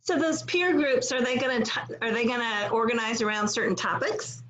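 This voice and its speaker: A woman's voice: a little high, normal speed, a bit bright, poor audio. A woman interviewer talking casually and conversationally with a guest.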